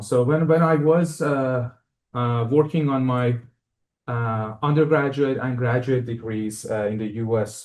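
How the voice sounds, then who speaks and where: A man's voice: medium pitch, darkish tone. A man from Iran with a Persian accent, lecturing live to students and faculty, friendly and hesitant with many fillers and restarts. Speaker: guest lecturer. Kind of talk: live academic lecture to an audience. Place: lecture hall.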